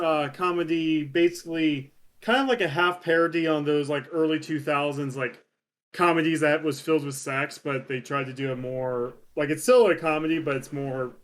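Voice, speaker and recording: Male panelist, bright and fairly high voice, casual explainer. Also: fairly even intonation, moderate pace.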